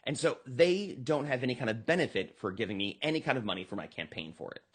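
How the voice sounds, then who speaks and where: Animated American man, slightly husky voice. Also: mid-range voice, fast talker. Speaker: political candidate being interviewed. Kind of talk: conversational campaign pitch in a podcast interview.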